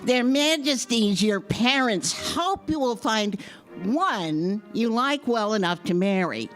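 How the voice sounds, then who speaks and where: A woman's voice: a little high, expressive, slow pace, faint music behind. A woman playing a deferential, sensible royal attendant in a children's audio play, formal and tactful. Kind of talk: children's audio theater acting.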